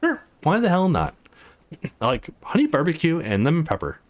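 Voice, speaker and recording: A man voicing a tough but secretly soft young woman in a visual novel, blunt, sarcastic and reluctant. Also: a little high, huge pitch swings, moderate pace. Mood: casual.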